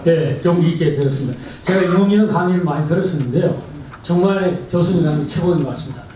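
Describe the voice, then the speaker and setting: A man's voice: on the high side, fast, a crowd in the background. Man asking a rambling question in an echoey hall. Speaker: audience member asking a question. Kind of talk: question from the floor. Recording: echoey hall, speaker far from mic, faint noise.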